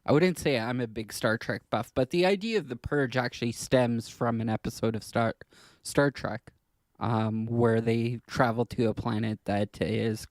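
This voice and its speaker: Guy on a podcast, lots of vocal fry, kinda halting and hesitant, really dry sound, chatting casually. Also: mid-range voice, no echo. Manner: hesitant, halting delivery with trailing clauses. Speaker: podcast co-host.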